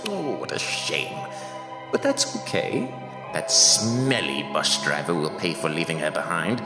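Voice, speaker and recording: A man giving slow, menacing, theatrical scripted narration for a horror show. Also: low-pitched. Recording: roomy reverb with music.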